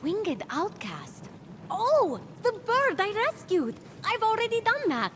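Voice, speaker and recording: A woman's voice: very high voice, huge pitch swings, takes it slowly, a bit bright, slight background noise, full character voice. An actress playing a bold, upbeat young woman aspiring to be a hero in a video game. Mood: eager. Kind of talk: video game cutscene voice acting. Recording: music behind the voice. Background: music.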